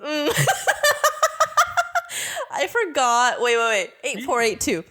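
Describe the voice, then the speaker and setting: A woman's voice: a little high, expressive, slow pace, pushed and bright. A young woman, tipsy and giggly, talking playfully and rambling as a podcast guest, a native Russian speaker. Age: young adult. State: drunk. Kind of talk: tipsy casual podcast chat.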